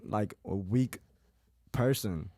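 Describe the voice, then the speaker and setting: A man speaks in a dark, mellow tone in a casual podcast chat, the sound dry and close with no room echo. He works through his thoughts slowly and reflectively, leaning on "like" and "you know" as he goes. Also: lowish voice. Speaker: podcast co-host.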